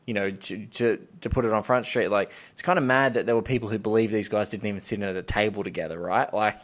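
Enthusiastic man, lowish voice. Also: steady tone, fast. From Australia. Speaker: podcast host. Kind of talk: casual film discussion.

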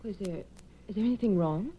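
An actress playing an anxious, polite receptionist in a hesitant 1950s radio drama style. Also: female voice, mid-range voice, fairly quick, natural voice. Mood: polite, uneasy. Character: distraught young female doctor's receptionist, anxious and polite. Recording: dull, hissy vintage 1950s radio recording.

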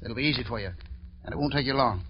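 A man's voice: medium pitch, fast, lightly acted. A man acting a tough, menacing gangster henchman in an old radio drama, sneering and impatient. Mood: bullying, impatient. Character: hard, menacing gangster henchman bullying an underling. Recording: dull, noisy old radio recording.

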